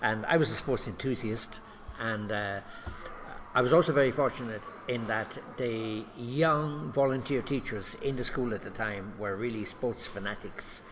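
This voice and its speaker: An older man with an Irish Cork accent, an athletics coach recalling his start in an interview, measured and reflective. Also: medium pitch, a bit bright, highs cut off, a little hiss or hum. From Ireland. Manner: measured, reflective, with many fillers. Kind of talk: interview storytelling.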